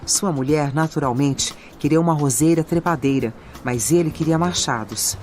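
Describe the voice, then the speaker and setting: Brazilian woman, audiobook narrator, scripted storytelling, music clearly behind the voice. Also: low-pitched, normal speed, a little mellow. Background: music and added sound effects.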